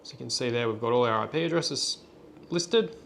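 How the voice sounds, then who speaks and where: A man presenting an unscripted software tutorial in a plain, step-by-step instructional way. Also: mid-range voice, brisk, vocal fry, slight background noise.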